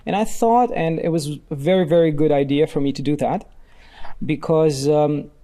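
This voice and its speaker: A man from Ukraine, a company CEO, answering questions as a podcast guest in relaxed, reflective talk with many fillers and restarts. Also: a little high, moderate pace.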